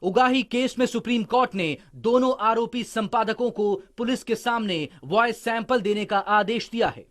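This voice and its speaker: A man's voice: very high voice, moderate pace, hardly any pauses, fairly bright tone. A man reading a scripted voice-over for a television news report.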